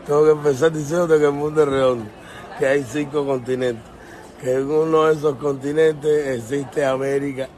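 A man's voice: a little high, steady tone, measured pace, darkish tone. A man from Cuba with a Cuban Spanish accent, ranting casually to his viewers, joking and swearing, often breaking into laughter. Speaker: vlogger.

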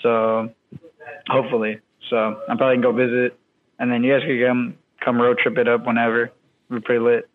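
Chill guy on a crackly phone call, talks fast and flat, says like a ton. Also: mid-range voice. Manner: laid-back, filler-heavy, keeps cutting out. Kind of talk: casual chat over a call. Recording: tinny phone-line audio with faint noise.

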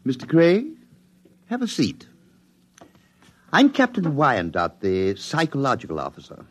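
A man playing a stern, condescending military psychologist in a radio drama, speaking in a measured, formal way. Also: medium pitch, wildly swooping pitch, a little hiss or hum. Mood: cool, cautioning. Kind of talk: 1950s radio drama acting.

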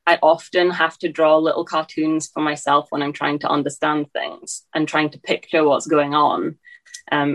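A woman in her twenties with an English accent tinged with Scottish, answering interview questions hesitantly, with many fillers and restarts. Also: low-pitched. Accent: English with a slight Scottish tinge. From England. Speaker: guest.

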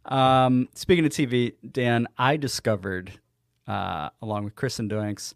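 A man co-hosting a casual podcast, talking in an easygoing, drawn-out way with some swearing. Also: mid-range voice, fairly even intonation, gravelly, no echo, clean studio sound. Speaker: podcast co-host. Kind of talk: casual chat.

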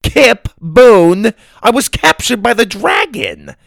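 A man voicing a feisty, indignant old grandmother, a sharp-tongued, bossy adventurer in a storybook. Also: a little high, big pitch swings, moderate pace, vocal fry, dry recording, clean studio sound, voice pushed up, full character voice. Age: older. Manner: exclamatory, teasing, bossy.

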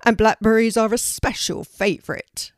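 A woman's voice: on the high side, fairly even intonation, moderate pace, no room sound, studio quality, pitched above the performer's own voice, natural voice. A woman voicing an earnest, protective female raven who explains things in a children's storybook. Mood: defensive. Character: chatty, protective female raven defending her friend.

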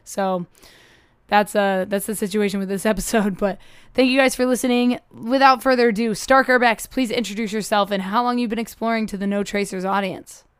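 A woman hosting a podcast in a casual, chatty way, her voice a little hoarse from a cough. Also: medium pitch, fairly even intonation, fairly quick, no room sound, clean studio sound. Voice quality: upbeat, chatty. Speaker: podcast host.

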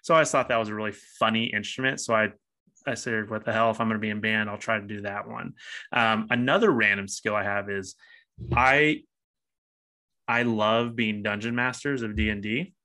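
A man in his thirties co-hosting a podcast, chatting casually, animated and quick to laugh. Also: on the low side. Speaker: podcast co-host. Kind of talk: casual chat.

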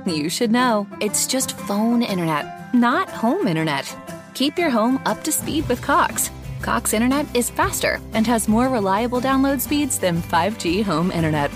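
A woman reading scripted commercial narration as an announcer. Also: on the high side. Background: music bed.

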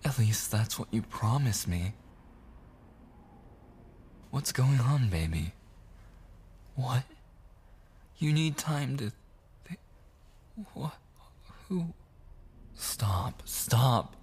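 A man playing a possessive, obsessively jealous boyfriend in an intimate ASMR audio roleplay, pleading and menacing in halting, soft speech that slips into whispers. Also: medium pitch, animated, a little hiss or hum. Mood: agitated, suspicious. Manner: pleading, halting and repetitive, slipping into breathy whispers.

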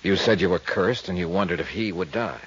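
A male actor with an American accent playing a cool, steady insurance investigator in a 1950s radio detective drama. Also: deep voice, fairly quick, a little hiss or hum. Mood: patient, probing. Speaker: lead actor and narrator of a radio detective serial. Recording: muffled 1950s radio recording.

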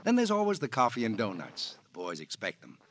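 An actor playing an obliging, chatty mission keeper in an old radio drama, with an ingratiating way of talking. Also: male voice, medium pitch, wildly swooping pitch, brisk, fairly bright tone. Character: obliging, talkative man running a charity mission. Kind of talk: 1940s-50s radio drama acting. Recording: noisy old radio recording.

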